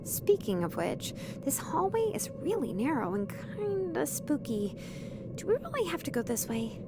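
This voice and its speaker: A woman's voice: high voice, wildly swooping pitch, moderate pace, loud music behind the voice, exaggerated voice. A woman voicing a bubbly, teasing young woman in a visual novel game, chirpy and playful. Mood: chatty.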